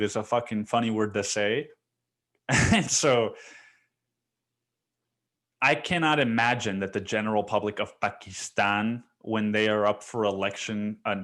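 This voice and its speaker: Young male solo podcaster, low-ish voice, casual profane rambling delivery. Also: a bit bright. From Mexico. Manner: rambling, profane, filler-heavy with repeated "dude". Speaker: podcast host.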